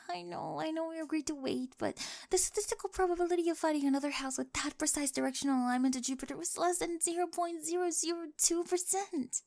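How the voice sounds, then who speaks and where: A woman's voice: extremely high pitch, a little fast, vocal fry. A woman playing a quirky, data-obsessed young bride in a scripted ASMR roleplay, speaking technical phrases with earnest, adoring precision. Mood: excited, earnest. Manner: precise, earnest recital of technical wording with giggly excitement.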